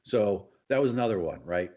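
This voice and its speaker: Low-voiced middle-aged man chatting. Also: expressive, brisk, mellow. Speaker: podcast co-host. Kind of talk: casual chat, storytelling.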